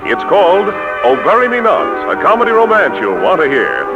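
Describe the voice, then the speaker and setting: A man's voice: fairly high pitch, wildly swooping pitch, normal speed, muffled recording. A man hosting a 1940s radio program with theatrical showmanship. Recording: vintage radio with loud music behind. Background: music.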